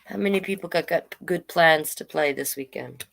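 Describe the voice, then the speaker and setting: A woman hosting a children's storytime online, reading deliberately and adding casual, chatty asides. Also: low voice, gravelly, fairly bright tone, a little hiss or hum. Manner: slow, deliberate read-aloud delivery with chatty asides. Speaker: drag king storytime host.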